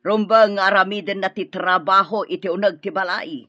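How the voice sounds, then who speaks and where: A woman's voice: mid-range voice, fairly even intonation, moderate pace, pushed and bright, dry recording, muffled recording. An actress playing a harsh, scornful middle-aged mother-in-law in an Ilocano radio drama, scolding and demanding with an Ilocano accent. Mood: scornful, bossy.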